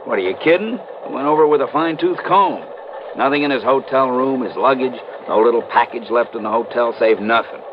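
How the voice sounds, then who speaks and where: A dull, slightly noisy old radio recording features a man as a dogged American police lieutenant. The medium-pitched voice is folksy and exasperated, hammering points home in vintage crime drama style. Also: brisk, lightly acted. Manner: colloquial, exasperated, emphatic. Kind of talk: 1950s radio drama acting.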